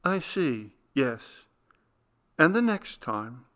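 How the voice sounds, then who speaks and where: An anxious, loving woman, played by a man reading aloud, pleads in a voice pitched high and urgent. She is tender and emotional, a character in a classic ghost story audiobook. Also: sing-song, extremely slow, fairly bright tone, full character voice. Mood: tender coaxing.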